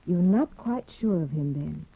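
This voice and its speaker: A woman's voice: lowish voice, lively intonation, a little slow, vocal fry, husky, exaggerated voice. A woman playing a proud, bored aristocratic young wife in a 1950s radio drama, cool and mocking. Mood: teasing. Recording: tinny old radio broadcast.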